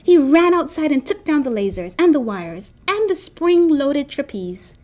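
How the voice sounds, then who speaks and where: A woman reading a children's picture book aloud with expressive, animated storytelling. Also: sits high, measured pace. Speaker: storybook reader.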